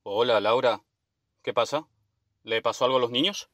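A man's voice: medium pitch, moderate pace, a bit bright, dry recording, clean studio sound, close to an everyday voice. A man playing a courteous, concerned married father in a radio drama.

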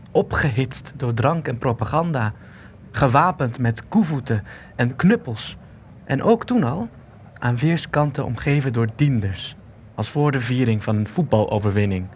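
A man's voice: medium pitch, slight background noise, natural voice. An actor playing a bitter, haunted, agitated man in wartime hiding, speaking intensely and accusingly in a Dutch radio play. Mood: grim, bitter. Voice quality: creaky. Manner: intense, emphatic, declamatory.

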